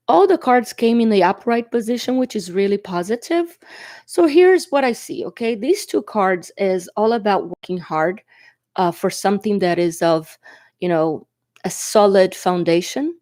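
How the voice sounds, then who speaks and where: A woman's voice: mid-range voice, animated, a little slow, dark tone, no echo, clean studio sound. A woman whose first language is Portuguese gives a chatty tarot reading, congested and coughing from allergies or a cold. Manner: chatty, reassuring, often asks "okay?". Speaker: tarot reader. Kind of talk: casual tarot reading to camera. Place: indoors at a table.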